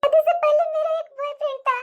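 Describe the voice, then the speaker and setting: A woman's voice: very high-pitched, animated, moderate pace, phone-quality sound. A woman playing an earnest, emotive young wife in a melodramatic family drama, pleading and standing up for herself. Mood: tearful confession. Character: tearful young wife being blackmailed, then defiant. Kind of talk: melodramatic family story dialogue.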